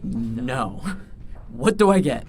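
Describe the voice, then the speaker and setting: A man's voice: medium pitch, lively intonation, takes it slowly, a little mellow, soft background music. An actor playing an anxious, pleading everyman held hostage as a passenger in an audio drama, nervous and protesting. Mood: desperate.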